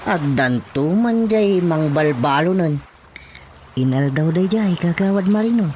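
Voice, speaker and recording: Gossipy Ilocano village watchman, highish voice. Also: expressive, measured pace, a little mellow, a little hiss or hum. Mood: earnest, gossipy. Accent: Filipino, Ilocano. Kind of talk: comedic radio drama acting.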